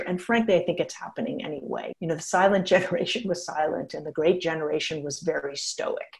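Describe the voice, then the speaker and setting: Woman, fifties, geriatrician, frank and animated, mellow and fairly low. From United States. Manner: frank, animated, laughs while talking. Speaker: geriatrician and author. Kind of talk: conversational interview answers.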